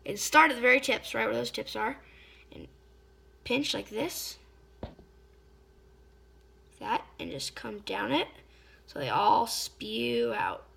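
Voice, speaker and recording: Hoarse woman teaching fly tying. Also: on the high side, lively intonation, slow pace, long pauses, pushed and bright. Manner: chatty, stops to search for words. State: slightly hoarse. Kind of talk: casual hands-on tutorial.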